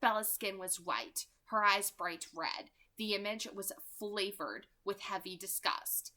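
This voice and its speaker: A woman reading a novel aloud as an expressive amateur narrator. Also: medium pitch, measured pace. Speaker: amateur audiobook reader.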